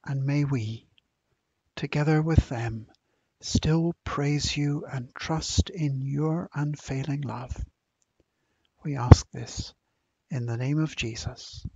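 Slow, slightly husky man leading prayer. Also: mid-range voice, a little mellow, dry recording, lo-fi sound. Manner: solemn, measured phrasing. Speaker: prayer leader. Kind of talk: scripted spoken prayer.

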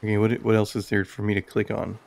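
A man's voice: fairly low pitch, monotone, quick pace, creaky, darkish tone. A man giving casual, tentative let's-play commentary, thinking aloud and reading on-screen text as he plays. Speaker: gaming video host. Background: game music.

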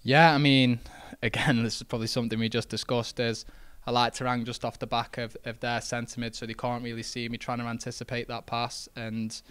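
Flat-voiced young footballer. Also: male voice, mid-range voice, brisk, clean tone, dry recording. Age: young adult. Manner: relaxed, modest, many fillers and restarts. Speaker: footballer after a match. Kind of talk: post-match interview. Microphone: handheld interview mic.

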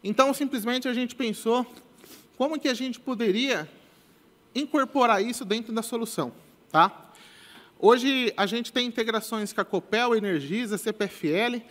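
Young Brazilian male presenter, high voice, loose and repetitive delivery, live stage mic. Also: moderate pace, a bit bright. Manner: casual, repeats "tá?" as a tag, many restarts. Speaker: conference speaker. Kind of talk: technical conference talk. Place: large conference stage with audience. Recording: long hall reverb.

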